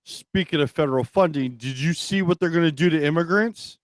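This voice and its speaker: A man's voice: fairly high pitch, brisk, no echo. Blunt man co-hosting talk radio, dry close sound. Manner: blunt, profane. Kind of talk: casual opinionated talk show chat.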